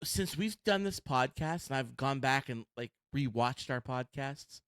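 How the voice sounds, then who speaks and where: Loud animated man chatting on a podcast. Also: medium pitch, unhurried, clear, resonant tone, no room sound, studio quality. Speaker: podcast co-host. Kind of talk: casual chat.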